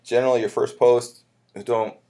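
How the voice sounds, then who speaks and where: A man's voice: mid-range voice, level tone, moderate pace, dark tone. A man speaking as a college instructor, walking students through a course in an informal, conversational and reassuring way with self-corrections. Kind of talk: informal course orientation lecture, explaining syllabus to students.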